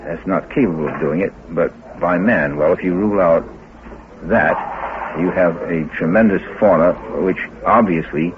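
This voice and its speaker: Halting, very deep-voiced male scientist, muffled recording. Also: noisy background. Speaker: scientist interviewee. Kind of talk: unscripted expert interview. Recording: phone-like old recording, chatter behind.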